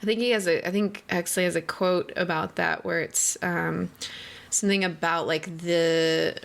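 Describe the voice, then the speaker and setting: A woman hosting a casual, reflective podcast chat, thinking aloud in halting, slangy phrases. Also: on the low side, fairly even intonation, creaky. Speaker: podcast host.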